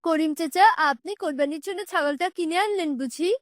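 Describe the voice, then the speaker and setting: A woman's voice: extremely high pitch, fairly quick, no room sound, clean studio sound. A woman voicing a polite, caring boy in a children's cartoon, friendly and full of questions. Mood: respectful, approving.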